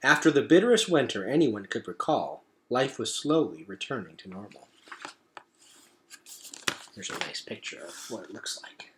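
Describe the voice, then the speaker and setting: Animated man reading a storybook. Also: on the low side, normal speed, long pauses, slight background noise. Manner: expressive, storytelling delivery. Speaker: teacher reading aloud to pupils. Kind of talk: expressive storybook narration.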